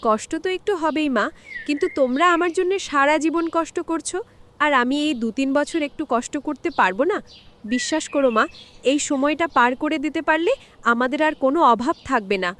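Devoted, determined young daughter, played by a woman for cartoon drama: high-pitched, earnest, reassuring. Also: animated, a little fast, a bit bright, slight background noise.

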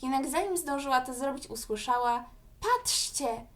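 A woman with a high-pitched voice narrates a children's story. She reads from a script as the storyteller. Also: moderate pace, fairly bright tone.

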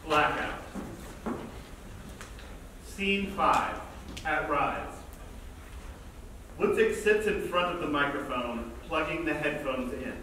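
A man's voice: fairly high pitch, slow, long pauses. A man reading stage directions as scripted narration at a staged play reading, recorded from afar in a theater. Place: large theater stage, indoors. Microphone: far camera in the audience. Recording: distant, very echoey theater, loud noise.

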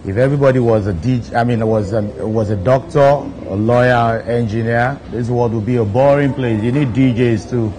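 A Nigerian man in his thirties, a DJ sitting in a studio armchair and talking to camera in a relaxed way, with lots of "you know" fillers. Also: medium pitch, normal speed, few pauses, slight background noise. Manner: laid-back, frequent "you know" fillers. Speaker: DJ hosting his own video countdown. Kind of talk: relaxed seated talk to camera. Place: studio set, seated in armchair.

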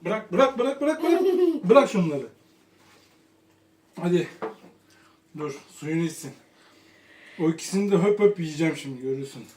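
A woman's voice: on the low side, sing-song, moderate pace, long pauses, a little mellow. A woman chatting casually during a family game, exclaiming and playfully complaining. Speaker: player in a family eating game. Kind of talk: casual family play chat. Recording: echoey room, faint background noise.

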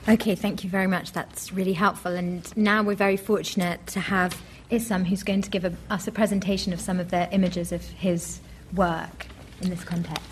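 A woman's voice: on the low side, little pitch movement, normal speed, a little hiss or hum. A woman chairing a public panel in an informal way. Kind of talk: informal event moderation. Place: public panel event on a stage.

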